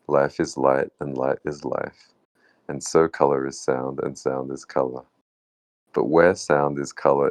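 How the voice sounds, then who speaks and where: A man reads a spiritual text aloud in an extremely deep voice. It is heavy with vocal fry and recorded very dry. His phrasing is measured and deliberate. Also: no echo, poor audio. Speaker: participant reading in a book club.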